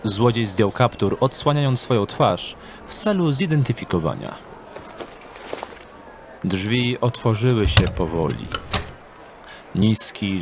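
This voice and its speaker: A man's voice: fairly low pitch, slight background noise. A man reading scripted narration for an audio drama as its storyteller. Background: background music and street ambience.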